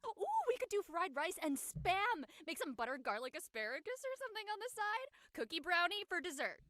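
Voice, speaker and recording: Woman playing breezy, cocky young friend; extremely high voice, animated, slangy delivery. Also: fairly quick, no echo, clean studio sound, heavily acted.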